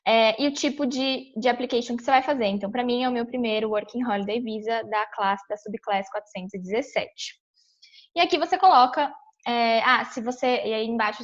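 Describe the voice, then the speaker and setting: A young woman from São Paulo with a Brazilian accent talks through a tutorial at her computer. Her voice sits a little high, and she chats loosely with many fillers and restarts. The sound comes through a dull, lo-fi webcam mic indoors. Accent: São Paulo Brazilian Portuguese. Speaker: vlogger walking through a visa application. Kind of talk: casual screen-share tutorial.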